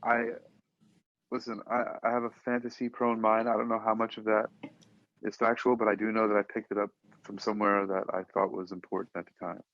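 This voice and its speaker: A man's voice: on the low side, little pitch movement, fairly quick. A man co-hosting a podcast, chatting casually in a rambling, musing way. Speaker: podcast co-host. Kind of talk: casual chat. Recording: dull, muffled lo-fi audio.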